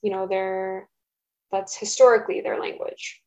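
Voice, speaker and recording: A woman's voice: mid-range voice, little pitch movement, moderate pace. A young woman, Russian her first language, answering interview questions in a hesitant, thoughtful way with many fillers, at home. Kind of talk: casual interview. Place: home, roommate nearby. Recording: dull lo-fi recording.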